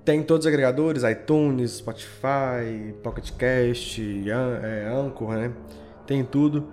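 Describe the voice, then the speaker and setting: A man's voice: medium pitch, takes it slowly, darkish tone, faint music behind. A man with a Brazilian accent chatting informally and with slang as a guest podcast creator. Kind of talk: casual chat.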